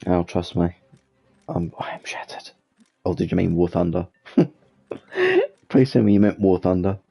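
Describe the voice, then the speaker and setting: A man's voice: low-pitched, wildly swooping pitch, measured pace, creaky, warm tone, breathy edge. A British man hosting casual flight game commentary in a rambling, self-correcting way. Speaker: gaming channel host.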